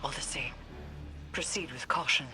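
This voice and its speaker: A grave female mystic in a video game, guiding a soldier through danger. Her delivery is formal, deliberate and cryptic, with a haunted solemnity. Loud music plays under the voice. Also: very deep voice. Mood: wary. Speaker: mission guide. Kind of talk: dramatic video game acting.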